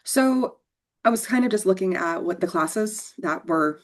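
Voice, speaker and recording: A woman's voice: mid-range voice, animated, unhurried. An American woman from Seattle, a mature student speaking casually on a panel over a video call. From Seattle, USA. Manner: casual, laughs easily.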